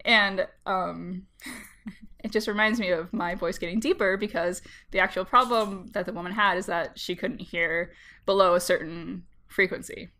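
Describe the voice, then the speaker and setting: A woman co-hosting a podcast while she has a cold, talking casually with vocal fry and laughing often. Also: medium pitch, bright, forceful tone. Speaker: podcast co-host. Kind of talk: casual chat.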